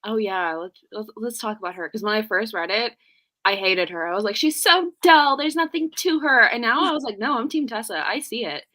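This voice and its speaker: A woman's voice: on the high side, expressive, a little fast, pushed and bright. A woman chatting casually about books as a co-host, slangy and acting out little imagined quotes as she talks. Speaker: co-host of a book readalong discussion. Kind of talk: casual book chat.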